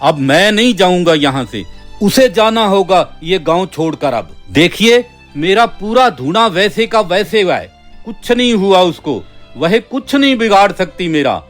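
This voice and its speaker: A man's voice: high voice, very animated, measured pace. A man playing a stubborn, self-important holy man in a cartoon story, with an insistent, declamatory delivery. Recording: background music.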